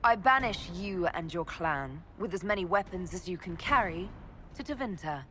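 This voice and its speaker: Composed, lowish-voiced female leader. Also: expressive, bright, forceful tone, slight background noise. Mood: firm. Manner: measured, judicial. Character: composed, authoritative elf mage leader. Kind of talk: video game voice acting.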